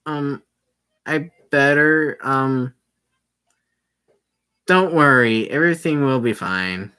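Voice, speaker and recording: A man's voice: medium pitch, slow pace, pushed and bright, clean tone. A man reading a nervous young woman in a visual novel, stammering and hesitant. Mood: flustered.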